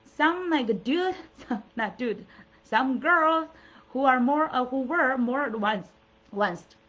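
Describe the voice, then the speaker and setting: A woman's voice: fairly high pitch, a bit bright. Middle-aged woman from china, mandarin is her first language, earnest motivational coach vibe, lots of fillers, kinda dull muffled audio. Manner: earnest, encouraging, many fillers and restarts. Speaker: coach and show host. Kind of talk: motivational solo talk.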